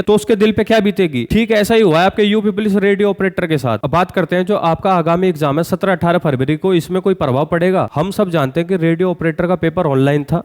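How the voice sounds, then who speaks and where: Guy doing youtube exam news, really high voice, earnest and pointed, keeps asking the viewer questions. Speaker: exam-news YouTuber addressing job aspirants. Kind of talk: direct-to-viewer news commentary with rhetorical questions.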